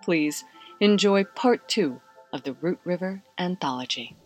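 A woman reads a scripted radio drama introduction as announcer. Her voice is a little low, with faint music underneath. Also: animated, a little slow.

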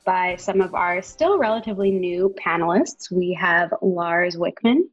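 A woman's voice: lowish voice, measured pace, dull audio, faint music behind. A woman hosting a podcast, introducing guests in an upbeat, friendly way. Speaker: podcast host.

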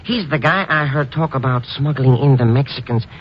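An actor playing a nervous, garrulous, stammering comic sidekick in a 1950s radio drama. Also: male voice, mid-range voice, moderate pace, natural voice. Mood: eager, confiding. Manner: stammering, excitable. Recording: muffled, hissy old radio recording.

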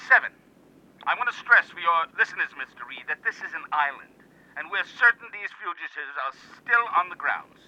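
A guarded admiral in an old-time radio drama. His piercing, animated voice gives clipped official reassurances through a slightly muffled remote connection. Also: fairly high pitch, moderate pace. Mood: firm, guarded.

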